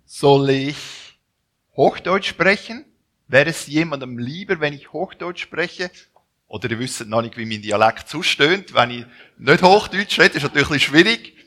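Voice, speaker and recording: Swiss German missionary from Zurich, forties, fairly high bright voice, stop-start self-correcting talk to a congregation. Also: male voice, expressive. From Zurich, Switzerland. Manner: halting, with restarts and self-corrections. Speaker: missionary speaker. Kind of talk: personal introduction to a church audience.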